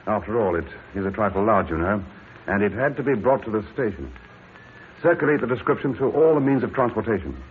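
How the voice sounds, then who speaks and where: A composed inspector played by a male actor speaks in a low, clipped, businesslike voice. It is old radio drama, dry in manner, with a narrow, slightly noisy sound. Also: close to an everyday voice. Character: composed, brisk, methodical British police inspector. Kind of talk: vintage radio drama acting. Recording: narrow-band old radio recording, faint noise.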